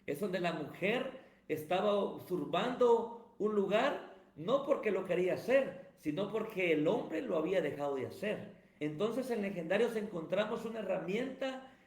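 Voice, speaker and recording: A man talks in a high, ringing voice. He answers like a pastor in the pulpit, building emphatic runs of phrases. The room around him is roomy and echoey. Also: lively intonation, moderate pace. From Guatemala. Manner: emphatic, sermon-like cadence. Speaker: pastor and evangelist, guest. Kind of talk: preaching-style interview answers. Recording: roomy echo.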